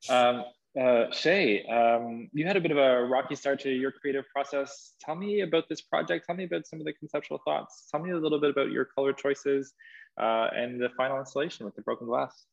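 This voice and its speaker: A man's voice: mid-range voice, fairly quick, creaky, lo-fi sound. A man in his thirties moderating a panel over earbuds, stammering and repeating words. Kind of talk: panel discussion. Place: gallery space, indoors. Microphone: wireless earbuds.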